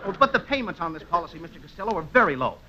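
A dull vintage broadcast features a pushy insurance salesman. He is officious and bright-voiced, talking fast in 1940s radio comedy style. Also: fairly high pitch, very animated, full character voice.

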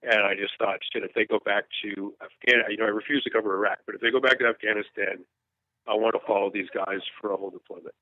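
A low-voiced man in his fifties speaks frankly and earnestly as a guest in an interview. He tells his story in halting bursts and restarts his sentences often. The sound is thin and phone-like, with no room echo at all. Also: fairly even intonation. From United States. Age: 50s. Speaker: war journalist guest. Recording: tinny phone-line sound, dry.

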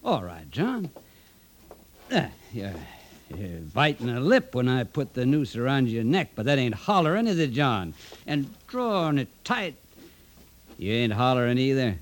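An actor playing a hard, relentless vigilante leader who commands and needles, in old-time radio drama style. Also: male voice, mid-range voice, sing-song, a little slow. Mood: coldly taunting. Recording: vintage radio drama recording.

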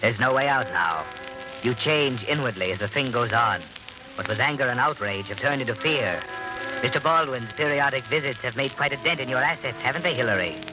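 Old radio recording with music, man acting omniscient suspense narrator, rapid delivery, taunting, insinuating. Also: medium pitch, natural voice, poor audio. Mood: knowing, taunting. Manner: insinuating, rhetorical tag questions. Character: taunting, omniscient male inner-voice narrator of doom.

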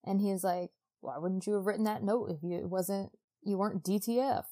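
A woman's voice: mid-range voice, fairly even intonation, a little fast, dark tone. A woman co-hosting a podcast, recapping a book casually and acting out dialogue in informal modern slang. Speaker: podcast co-host.

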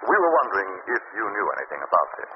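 An actor playing a calm, wry gentleman detective who speaks in a measured, dry way, in 1930s radio drama acting. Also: on the low side. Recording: lo-fi noisy old radio recording.

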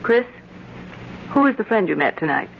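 An actress playing a devoted, anxious, trusting wife in a 1940s-style radio drama. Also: female voice, medium pitch, big pitch swings, moderate pace, creaky. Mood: hurt, resolute. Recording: muffled, noisy old radio broadcast recording.

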